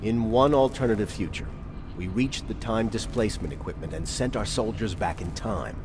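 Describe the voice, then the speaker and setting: An actor playing a grave, resolute military commander in a video game, speaking in a deliberate, earnest, authoritative way. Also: male voice, lowish voice, background noise, close to an everyday voice. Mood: solemn, earnest. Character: grave, resolute male resistance commander briefing soldiers. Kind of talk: dramatic cutscene dialogue.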